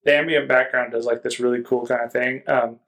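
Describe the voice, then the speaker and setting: A man's voice: medium pitch, flat delivery, a little fast, fairly bright tone. A man reviewing music in a casual podcast chat, speaking informally and feeling his way through descriptions.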